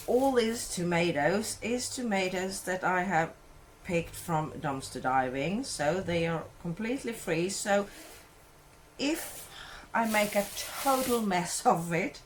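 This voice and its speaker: Slow-talking Swedish woman chatting while cooking. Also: medium pitch, animated, a little hiss or hum. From Sweden. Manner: rambling, self-correcting, chatty. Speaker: cooking vlogger. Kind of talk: casual cooking chat. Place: home kitchen, indoors.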